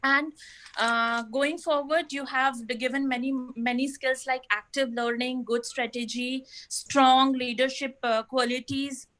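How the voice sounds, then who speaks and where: A woman's voice: sits high, steady tone, unhurried, fairly bright tone, poor audio. A woman on a video call from home giving earnest summary comments on a talk, with many fillers and restarts. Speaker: discussant in an online webinar. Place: home, toddler nearby.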